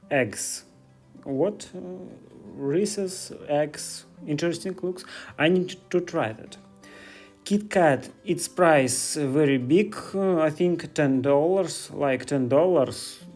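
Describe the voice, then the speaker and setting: Russian guy in his 30s rambling slow thru shops on his phone, light guitar music behind. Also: on the high side, darkish tone. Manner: curious, chatty, broken English. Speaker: vlogger. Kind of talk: casual walking vlog. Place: stores in the city.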